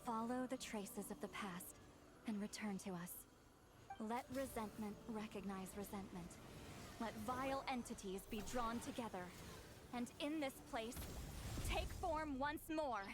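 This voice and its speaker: A woman's voice: a little high, measured pace, natural voice. An actress playing an earnest young female shaman in a video game, chanting a ritual incantation. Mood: solemn. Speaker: game character. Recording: game audio with music underneath.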